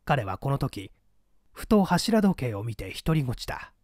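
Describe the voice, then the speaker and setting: A man's voice: mid-range voice, very animated, measured pace, a little mellow, dry recording, clean studio sound. A man narrating a literary story as a scripted audiobook, in a formal, precise, dramatic manner.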